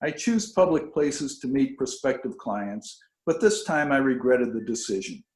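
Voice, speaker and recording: A man's voice: medium pitch, lively intonation, unhurried, darkish tone, close to an everyday voice. A man reading first-person detective fiction as a wry, understated female private eye. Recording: roomy, echoing video call audio.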